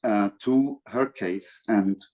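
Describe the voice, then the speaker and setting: An older man with a Swiss accent speaks in a lowish voice, formal and earnest. He answers as an interview guest over a video call, pausing often on fillers. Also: a little mellow. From Switzerland.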